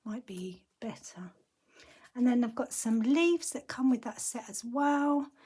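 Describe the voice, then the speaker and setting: A woman teaching a card-making tutorial in a chatty, casual way. Her voice has gone croaky, and she often murmurs half-whispered asides to herself as she works. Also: fairly high pitch, a little slow, mellow.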